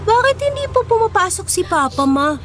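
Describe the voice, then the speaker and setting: A woman's voice: very high-pitched, big pitch swings, normal speed, fairly bright tone, a little hiss or hum, exaggerated voice. A woman playing a sweet, affectionate little boy who admires his parents, in a Filipino radio drama. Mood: eager, affectionate. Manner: childlike, affectionate.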